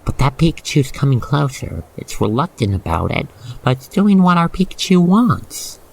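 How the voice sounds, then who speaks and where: An expressive narrator guiding the listener through an ASMR roleplay story in a gushing, sentimental manner. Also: medium pitch, moderate pace, gravelly, husky, poor audio.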